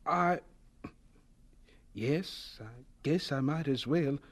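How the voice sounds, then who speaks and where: An actor playing a young hired hand of about twenty in a radio drama, polite, reserved and hesitant. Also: male voice, a little high, drawn-out words, exaggerated voice. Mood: hesitant, wondering. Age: young adult. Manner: deferential, hesitant, subdued.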